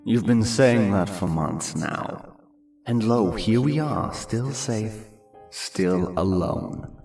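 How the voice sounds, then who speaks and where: An actor playing a cynical, indolent manor lord with a sardonic, pompous, lecturing manner in a fantasy audiobook. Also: male voice, on the low side, animated, slow, gravelly, a little mellow, slightly rough, heavily acted. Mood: wry, wary. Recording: music behind the voice.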